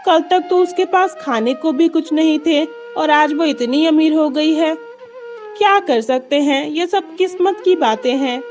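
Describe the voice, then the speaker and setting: A gossipy village parrot lady with a high-pitched voice, voiced by a woman. She chatters in a children's cartoon over background music. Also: measured pace, darkish tone. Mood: gossipy, envious. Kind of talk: children's cartoon story dialogue.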